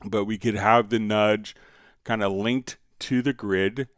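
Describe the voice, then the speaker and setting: Male tutorial host, lower-pitched and smooth, close dry sound, casual step-by-step instructor delivery. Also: fairly even intonation, slow pace, no echo. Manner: explanatory, step-by-step. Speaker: livestream host, software instructor. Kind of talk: casual software tutorial, answering viewer questions.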